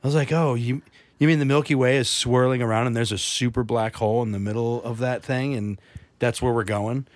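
A man's voice: mid-range voice, steady tone. A man chatting casually as a podcast host, rambling and restarting his sentences often. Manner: rambling, halting with many restarts. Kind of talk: casual chat.

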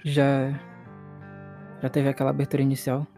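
A man's voice: medium pitch, completely flat delivery, normal speed, warm tone, faint music behind. A man with a Brazilian accent chatting casually as a player in a tabletop game. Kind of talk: casual chat.

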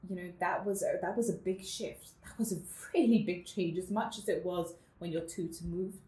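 A woman's voice: lowish voice, moderate pace, darkish tone. Reflective woman, echoey room. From Netherlands. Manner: reflective, laughs often, many fillers. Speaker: guest. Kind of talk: casual interview chat.

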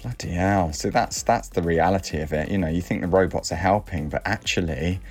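British male co-host, low-pitched voice, casual conversational banter. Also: fairly quick, breathy edge, faint music behind. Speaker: co-host of a chat show.

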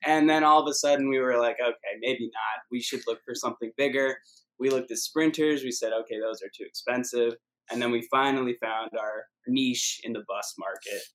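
A man's voice: medium pitch, fairly quick, low-quality recording. A man in his twenties from Maryland, a podcast guest chatting in an easygoing way. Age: 20s. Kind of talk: casual chat.

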